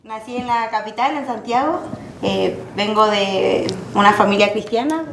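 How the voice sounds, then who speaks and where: Young Chilean woman, podcast guest, hesitant soft delivery, echoey noisy room. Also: medium pitch, moderate pace, vocal fry. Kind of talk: casual interview chat.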